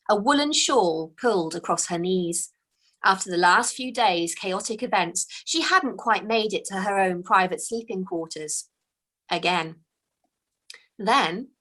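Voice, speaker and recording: A woman author reading her own fantasy storybook aloud, in a slow, expressive, hushed storytelling manner. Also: medium pitch. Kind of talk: storybook read-aloud narration.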